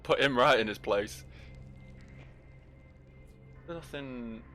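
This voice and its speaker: A man's voice: mid-range voice, very slow, a bit bright, faint music behind. A man giving casual, joking let's play commentary, rambling and teasing as he talks to the game's character. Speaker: gaming video host.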